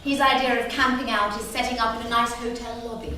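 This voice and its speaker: A high-voiced, bossy wife. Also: female voice, fairly even intonation, sharp tone. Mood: scolding, teasing. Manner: brisk, playful, bossy. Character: Isabel, bold, teasing, impatient wife and mother. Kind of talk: staged play reading. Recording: echoey theatre from far off, faint noise.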